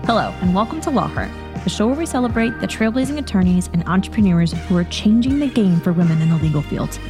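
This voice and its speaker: A woman's voice: medium pitch, expressive, fast, music in the background. A woman hosting a podcast, reading a polished intro and then asking interview questions. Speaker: podcast host.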